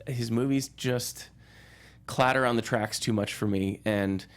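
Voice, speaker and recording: A man in an informal film discussion, speaking as a journalist on a talk show. He talks in a casual, halting way, often doubling back on his phrases, with a medium-pitched voice. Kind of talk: casual film review chat.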